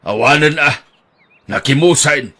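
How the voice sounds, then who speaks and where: A man's voice: on the high side, a little slow, pushed and bright. A man playing a teasing old husband. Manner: bantering, teasing. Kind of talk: audio drama acting.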